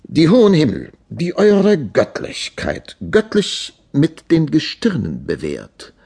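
Man reading as lofty, florid romance writer, animated. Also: a little high, slow pace, vocal fry, breathy edge, voice pushed up, heavily acted. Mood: lofty, solemn. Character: florid, lofty writer of old chivalric romances, read aloud.

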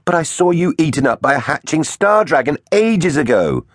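Guy doing a brave cheeky dino captain, higher voice, really animated, kids storybook hero. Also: moderate pace, dry recording, clean studio sound. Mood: shocked disbelief.

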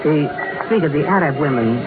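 An actor playing a sly, dryly ironic police inspector in a 1930s radio drama, unhurried and mocking. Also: male voice, on the high side, animated, narrow, tinny audio, lightly acted. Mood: guiding. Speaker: inspector acting as guide. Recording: scratchy 1930s radio broadcast. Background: loud music and crowd noise.

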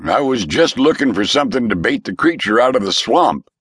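A man's voice: medium pitch, sing-song, brisk, fairly bright tone, breathy edge, dry recording, studio quality, voice pushed up. A man voicing a shaky, nervous gunman. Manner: shaky, hesitant. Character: nervous young male gunman.

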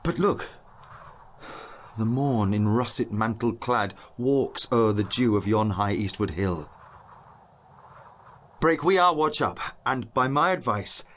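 A man's voice: medium pitch, lively intonation, slow pace, pauses often, fairly bright tone, slight background noise. An actor playing a learned, earnest young nobleman on night watch, declaiming verse in a dramatised Shakespearean audiobook. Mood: hushed wonder. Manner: eloquent, declamatory verse delivery.

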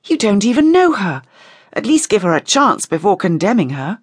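A woman's voice: medium pitch, sing-song, moderate pace, no echo, clean studio sound, voice pushed up. A woman voicing a defensive, impatient female vampire in an audiobook, with a challenging, questioning manner. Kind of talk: audiobook character dialogue.

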